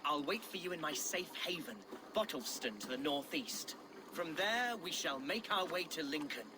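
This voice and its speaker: Man playing a high-pitched, flustered young nobleman. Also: moderate pace, noisy background, close to an everyday voice. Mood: hopeful, grateful. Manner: florid, polite, apologetic, excitable. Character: earnest young exiled nobleman, meek amateur poet, eager and flustered. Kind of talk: scripted video game drama acting.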